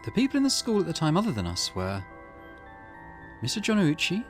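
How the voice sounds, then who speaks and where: A man's voice: on the high side, wildly swooping pitch, fairly quick, faint music behind, pitched above the performer's own voice. A man voicing an earnest, polite and thoughtful young schoolgirl in a visual novel game.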